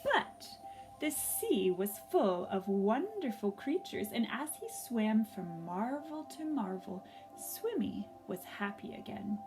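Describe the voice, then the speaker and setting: A woman reading a story aloud for young children, gently and expressively, pausing to ask them questions. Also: mid-range voice, big pitch swings, over music, low-quality recording.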